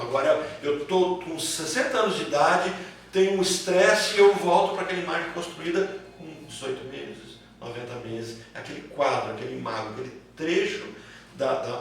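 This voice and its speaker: Man, Brazilian accent, informal teacher, tag questions, far from mic, noisy background. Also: fairly high pitch. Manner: didactic, repeats phrases and checks understanding with tag questions. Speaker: teacher of a psychoanalysis class. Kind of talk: informal lecture with questions from students. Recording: echoey room, far mic, clear background noise.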